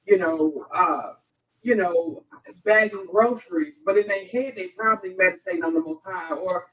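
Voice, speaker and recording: A woman's voice: lowish voice, moderate pace, a bit bright, far from the mic, lo-fi sound. An American woman on a scripture study panel, talking conversationally and checking in often, picked up without a microphone of her own. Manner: conversational, frequent check-ins with listeners. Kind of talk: casual Bible discussion. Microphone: picked up from across by another's mic.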